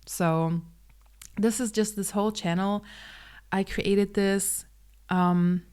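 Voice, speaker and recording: A woman's voice: medium pitch, steady tone, slow pace, a little mellow, clean tone. A woman in her twenties, a native German speaker, talking earnestly to camera in a casual personal vlog with frequent ums. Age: 20s. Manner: hesitant, many ums, open and earnest. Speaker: vlogger.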